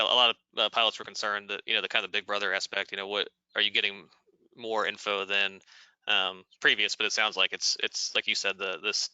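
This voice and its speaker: A man's voice: fairly low pitch, bright, forceful tone, clear, resonant tone, dry recording, rough recording. A man hosting an informal question-and-answer session, moderating and relaying questions. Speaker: webinar host, moderator.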